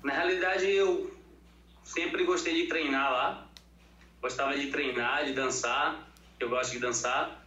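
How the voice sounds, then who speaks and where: A man's voice: on the high side, steady tone, a little slow, pauses often, sharp tone. A Brazilian man from Bahia chatting casually as an interview guest, plain-spoken and colloquial. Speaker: interview guest, fitness professional. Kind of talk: casual chat. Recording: echoey room, dull lo-fi sound.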